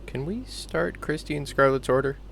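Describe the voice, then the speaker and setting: A man's voice: a little high, normal speed, vocal fry, fairly bright tone, slight background noise. A man playing a hesitant, mild-mannered pizzeria worker in a scripted comedy audio drama.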